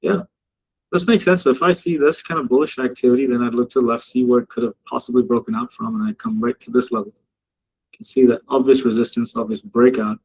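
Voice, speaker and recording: Gravelly, husky man chatting on a live stream. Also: mid-range voice, steady tone, brisk, darkish tone, poor audio. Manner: chatty, laughs often, jokes with the chat. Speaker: trading mentor hosting a live session. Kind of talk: casual live-stream commentary. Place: home office in Dubai.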